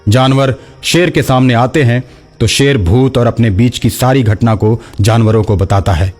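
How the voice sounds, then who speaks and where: A man's voice: medium pitch, normal speed, darkish tone. A man narrating a scripted children's story, with music behind him.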